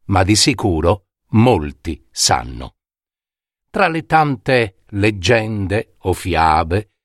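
A man narrating a scripted fairy tale in a measured, dramatic way with deliberate pauses. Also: medium pitch, no room sound, studio quality. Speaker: storyteller.

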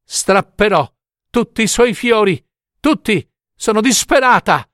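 A man's voice: sits high, very animated, unhurried, no room sound, clean studio sound, pitched above the performer's own voice. A man voicing a grieving, devoted mother in a storybook reading, with a pleading, emotional manner. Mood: desperate.

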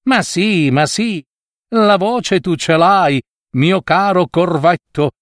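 A man voicing a sly, flattering fox with a wheedling, drawn-out manner. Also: fairly high pitch, dry recording, clean studio sound, pitched above the performer's own voice. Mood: mocking. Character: sly flattering vixen, sweet-talking and mocking.

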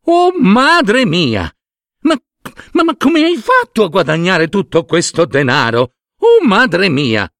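A man voicing a bossy, greedy village wife in a folk tale, exclaiming and nagging with drawn-out emphatic words. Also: sits high, sing-song, pushed and bright, no room sound, studio quality, pitched above the performer's own voice, heavily acted. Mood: amazed.

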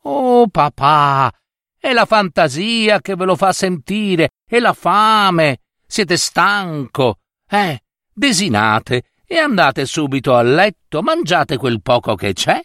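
Fairy-tale young woman, played by a man: kind, wily ogre's daughter, raised pitch, animated, pleading delivery. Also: slow pace, no echo, clean studio sound, full character voice. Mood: soothing, coaxing. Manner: pleading, coaxing exclamations.

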